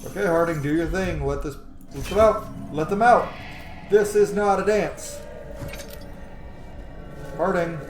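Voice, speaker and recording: A man giving casual, animated gameplay commentary as a streamer, rambling into asides and swearing freely. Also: sits high, unhurried, pauses often, echoey room, slight background noise. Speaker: game streamer on own channel. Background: game music.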